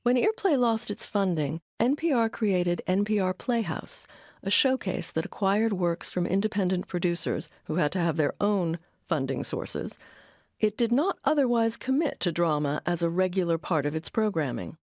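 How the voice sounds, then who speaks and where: A woman with an American accent giving scripted documentary narration in a measured, articulate way. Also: fairly low pitch, lively intonation, a little mellow, no room sound, clean studio sound.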